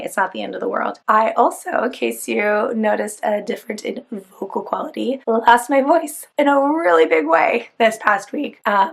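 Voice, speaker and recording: A woman's voice: mid-range voice, gravelly, breathy edge. A woman hosting her own book vlog, talking casually and chattily with lots of fillers. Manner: chatty, upbeat, rambling with fillers. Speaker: book vlogger.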